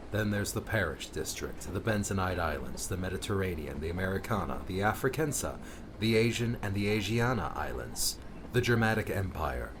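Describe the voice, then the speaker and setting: Man as a moody, low-voiced guardian. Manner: curt, matter-of-fact. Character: moody, withdrawn male guardian, curt and cool. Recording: clearly noisy background.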